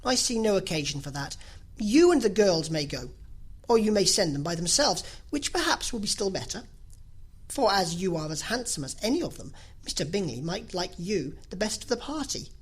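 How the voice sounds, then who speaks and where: Woman reading as a dry, teasing middle-aged husband, husky. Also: medium pitch, sing-song. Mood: dry, indifferent. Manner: wry, measured, mock-polite.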